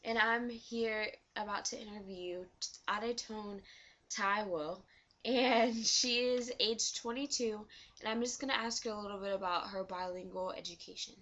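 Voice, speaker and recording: A young woman student interviewing someone for a class, asking halting questions with many fillers. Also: medium pitch, fairly even intonation, a bit bright, dull audio. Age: young adult.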